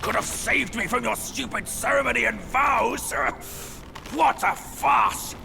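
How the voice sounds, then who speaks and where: An actor playing a shrill, scornful male demon in a video game cutscene, shouting insults. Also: unhurried, gravelly, heavily acted. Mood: mocking. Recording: game audio with loud music.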